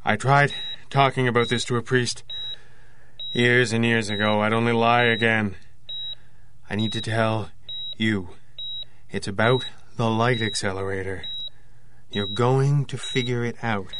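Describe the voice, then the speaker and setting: An actor playing an anxious, obsessive loner inventor in an audio drama, speaking in a halting, self-doubting, agitated way. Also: male voice, mid-range voice, steady tone, background noise, close to an everyday voice. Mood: weak, urgent. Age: 50s.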